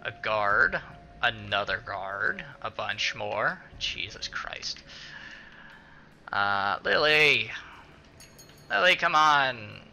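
A man's voice: lowish voice, lively intonation, very slow, gravelly, long pauses, sharp tone, lo-fi sound, slight background noise. A man hosting a casual let's play, muttering, swearing and talking to the game's characters. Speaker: gaming video host. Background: game music.

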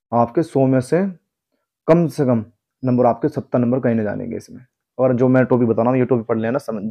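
A man's voice: medium pitch, muffled recording. A man with a North Indian accent giving an informal exam guidance lesson as a coaching teacher, instructing students directly and repeating his advice. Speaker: exam coaching teacher, former police employee.

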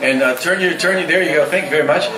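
A man's voice: on the high side, fast. An older man hosting a poetry slam on stage, upbeat and chatty, calling on the judges for scores. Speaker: slam host. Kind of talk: emcee announcing. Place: stage in a large hall. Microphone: handheld mic. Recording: echoey hall from far off, loud crowd.

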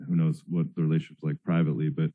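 Low-voiced reporter, a bit muffled. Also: male voice, flat delivery, racing, no room sound. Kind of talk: press conference questions.

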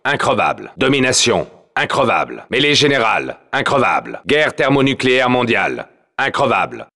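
Military game announcer: battle-hardened soldier, terse and authoritative, forceful tone, lively intonation. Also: male voice, medium pitch, normal speed, gravelly, full character voice. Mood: firm, clipped. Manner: terse, authoritative, clipped phrasing. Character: male soldier multiplayer announcer, commanding, urgent, battle-hardened. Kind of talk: military game announcement, clipped radio-style callouts.

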